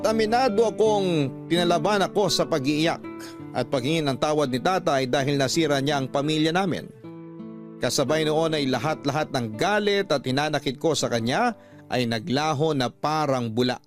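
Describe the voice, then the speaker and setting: A man reading a scripted first-person story as a radio storyteller. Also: on the high side.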